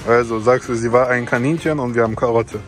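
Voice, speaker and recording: A man's voice: mid-range voice, steady tone, fast talker. A young man in casual, slangy vlog chat. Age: young adult. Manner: slangy, colloquial. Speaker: vlog participant. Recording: music running under the voice.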